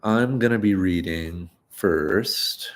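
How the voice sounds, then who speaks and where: A man's voice: low-pitched, takes it slowly. A man reading stories aloud on a live stream, chatting loosely between readings, a bit stuffy and nasal. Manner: rambling, relaxed, many fillers. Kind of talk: live-streamed story reading with casual chat.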